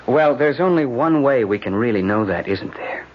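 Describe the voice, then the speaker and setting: An actor playing an intense, determined lawyer in a radio drama, speaking with insistent urgency. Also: male voice, mid-range voice, lively intonation, normal speed, close to an everyday voice. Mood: grimly determined. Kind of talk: 1970s radio drama acting. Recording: muffled, hissy old radio broadcast.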